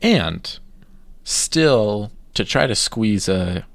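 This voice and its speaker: A man co-hosting a podcast in casual chat, giving opinions with halting restarts. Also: on the low side, lively intonation, creaky, dry recording. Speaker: podcast co-host.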